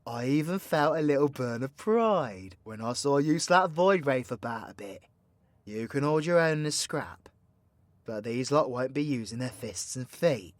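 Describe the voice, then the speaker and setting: A man's voice: medium pitch, lively intonation, a little slow, no room sound, close to an everyday voice. A British man playing a cocky, foul-mouthed supervillain in an audio roleplay, talking in a slangy, mocking, theatrical way to an ally. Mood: teasing, instructive. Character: cocky, foul-mouthed male supervillain plotting mayhem, teasingly mentoring ally. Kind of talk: villain roleplay audio drama, intimate monologue to listener.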